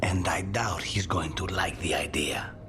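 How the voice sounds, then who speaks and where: An actor playing a brooding, courteous but impatient assassin in a fantasy game, with a breathy, half-whispered voice. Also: male voice, deep voice, measured pace, over music. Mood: wry. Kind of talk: dramatic video game acting.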